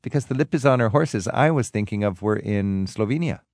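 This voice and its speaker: A man hosting a travel radio show, asking curious quick follow-up questions in a conversational interview. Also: on the low side, expressive, a little mellow, dry recording, studio quality.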